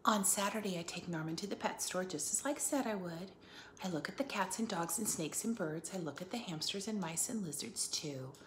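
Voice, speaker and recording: Woman, teacher read-aloud, expressive melody, slightly low pitch, question-filled asides, roomy echo. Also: a little fast. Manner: expressive, prompts listeners with questions. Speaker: teacher reading a picture book aloud. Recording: echoey room, faint background noise.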